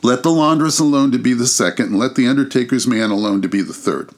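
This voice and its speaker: A man voicing a bold, coarse charwoman in a storybook reading, rough-spoken and scornful. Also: medium pitch, animated, fast, voice pushed up, lightly acted. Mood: brash.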